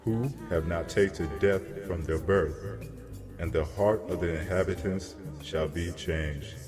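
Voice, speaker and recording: A man playing a solemn angel messenger who delivers grave prophecy in a measured, declamatory way, as in a dramatized scripture reading. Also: low-pitched. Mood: grave, prophetic. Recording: long cavernous reverb, music behind. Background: music.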